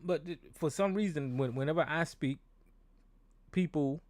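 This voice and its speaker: A man's voice: on the high side, normal speed, darkish tone, a little hiss or hum. A man hosting a call-in show, talking bluntly and with a lot of profanity, often restarting his sentences. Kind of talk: casual call-in show monologue. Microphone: desk microphone with board.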